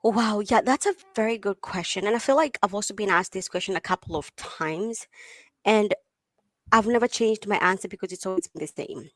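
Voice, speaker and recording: A woman whose first language is Swahili talks in a chatty, animated way and often laughs between thoughts. Her voice sits in a middle pitch for a woman. The sound is close and dry, with no room in it. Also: brisk, no room sound. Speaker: guest tech founder. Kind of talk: conversational interview answers. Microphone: video call.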